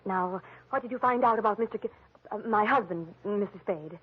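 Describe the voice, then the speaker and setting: An actress playing a chatty, evasive American wife hiring a detective in a 1940s radio drama, coy and a little faltering. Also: female voice, mid-range voice, a little fast, husky, natural voice. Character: chatty, evasive married woman, detective's client. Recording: thin, narrow old radio recording.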